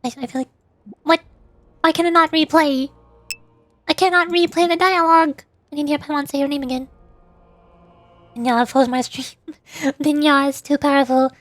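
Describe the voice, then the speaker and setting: A chatty, playful woman talks to her viewers while she plays a game, in a high, bubbly voice. She speaks into a desk microphone, and faint music plays underneath. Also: fairly even intonation, normal speed, pauses often, a bit bright, no room sound. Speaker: VTuber streamer. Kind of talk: casual live-stream gameplay chat.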